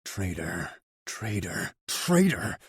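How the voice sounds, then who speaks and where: A man's voice: lowish voice, unhurried, a little hiss or hum. A man voicing a furious, betrayed man who snarls and then turns icy.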